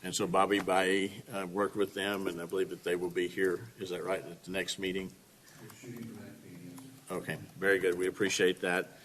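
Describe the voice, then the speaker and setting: A man chairing a public committee meeting in a relaxed, folksy way. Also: sits low, normal speed. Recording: long reverb in a meeting room, faint hiss.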